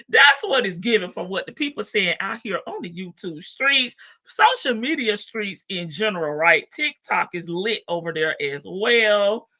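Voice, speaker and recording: Female livestream host, unhurried, playful gossip delivery, frequent laughs. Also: mid-range voice, big pitch swings, sharp tone, muffled recording. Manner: chatty and playful, laughs often, greets viewers by name. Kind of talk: casual gossip commentary on a court case.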